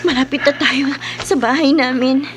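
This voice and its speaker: A woman's voice: high voice, steady tone, moderate pace, noisy background, natural voice. A woman playing an anxious, caring young woman in a horror radio drama, plaintive and worried.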